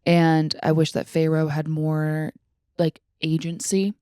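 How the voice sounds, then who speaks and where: A woman's voice: deep voice, completely flat delivery, slow pace, mellow, clear, resonant tone, dry recording, clean studio sound. A young woman co-hosting a podcast, chatting casually and giving opinions in very informal speech sprinkled with "like" and mild swearing. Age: young adult. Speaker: podcast co-host.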